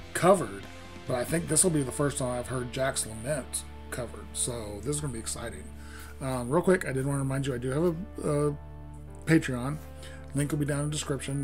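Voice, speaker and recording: A middle-aged man hosting a music reaction from home, talking casually and enthusiastically with lots of ums and restarts. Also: medium pitch. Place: home room with Halloween decor and lights.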